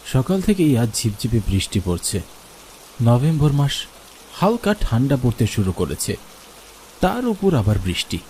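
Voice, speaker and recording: A man's voice: medium pitch, big pitch swings, moderate pace, long pauses, darkish tone, slight background noise, low-quality recording. Male horror story narrator over music.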